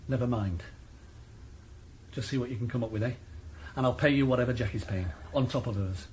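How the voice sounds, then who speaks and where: Male actor as a calm, threatening crime boss; understated radio-play menace. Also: medium pitch, a little fast, slight background noise, natural voice. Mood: menacing. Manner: menacing, matter-of-fact. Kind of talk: radio drama acting.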